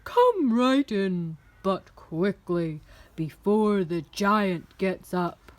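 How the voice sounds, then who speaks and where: A woman voicing a huge, motherly, bossy giantess in a storybook, with booming, drawn-out, emphatic delivery. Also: sing-song, no room sound. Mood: kindly, urgent.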